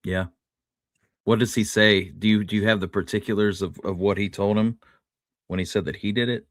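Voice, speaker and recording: A man's voice: fairly low pitch, fairly even intonation, normal speed, no room sound, studio quality. A man co-hosting a history discussion in an easygoing, conversational way. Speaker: podcast co-host.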